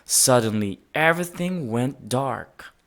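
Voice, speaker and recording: Children's story narrator: woman, low register, unhurried pace, animated and dramatic read-aloud delivery. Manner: expressive, dramatic emphasis, sound-effect words. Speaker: storybook reader.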